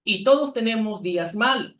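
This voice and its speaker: A man from Peru, preaching an earnest sermon as pastor with deliberate pauses. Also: high voice, animated, fairly bright tone. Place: church. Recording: echoey church hall.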